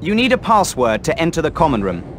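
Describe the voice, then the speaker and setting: A man's voice: a little high, huge pitch swings, fast, slight background noise. A man voicing an officious, self-important teenage prefect in a fantasy game, formal and bossy. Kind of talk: British fantasy game acting.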